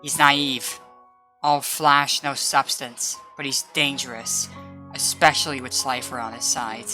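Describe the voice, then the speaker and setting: A woman's voice: rumbling low, fairly even intonation, a little slow, sharp tone, soft background music, heavily acted. A woman voicing a blunt, loyal female comrade in a dramatic roleplay, firm and earnest. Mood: firm, determined. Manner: firm, scolding yet earnest. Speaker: voice actor.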